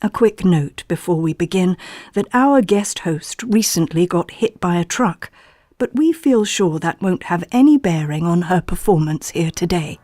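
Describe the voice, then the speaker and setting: An actress playing an earnest, unflappably polite wellness podcast host, introducing a meditation in a measured, gentle, deadpan way for an audio play. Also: female voice, lowish voice, no echo, studio quality. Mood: gently deadpan.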